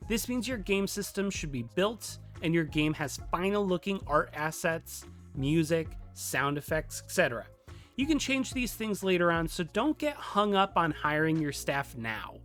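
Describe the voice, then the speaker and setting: A tutorial narrator with a mid-pitched, animated voice that moves freely up and down. The delivery is scripted yet conversational and lightly playful, with music playing behind it. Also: normal speed. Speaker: video tutorial presenter.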